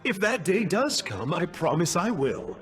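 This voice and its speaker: A man's voice: high voice, big pitch swings, a little slow, fairly bright tone, exaggerated voice. An actor playing a sly, poetic young male villain in a video game, speaking in a grandiose, mock-courteous way. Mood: wry, wistful. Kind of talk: scripted video game dialogue. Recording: game music behind. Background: game music.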